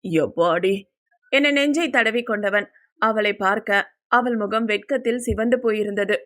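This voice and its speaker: A woman's voice: on the high side, steady tone, normal speed, fairly bright tone. A woman reading a scripted audio novel as a dramatic storyteller.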